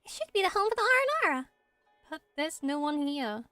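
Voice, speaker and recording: A tiny, cheeky fairy sidekick from a fantasy game, excitable and talkative. The voice is extremely high and swings animatedly, recorded very dry. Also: female voice, fairly quick, no room sound, faint music behind, full character voice. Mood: curious, eager. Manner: refers to self in third person. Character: small excitable flying fairy companion, chatty and cheeky. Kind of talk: game character voice acting, fan dub.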